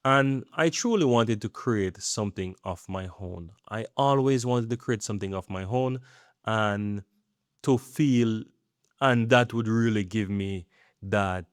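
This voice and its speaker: A man's voice: deep voice, no room sound, clean studio sound. A man in his thirties talking earnestly in a rambling vlog, often checking in with "right?". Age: 30s. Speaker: YouTube creator. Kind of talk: casual talking-head vlog.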